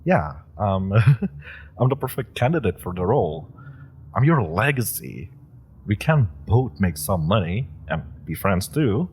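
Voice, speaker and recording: A man's voice: on the low side, big pitch swings, measured pace, darkish tone, slight background noise. A man playing an eager, awkward young male super-powered rookie, rambling with nervous laughs and many fillers. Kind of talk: audio roleplay acting.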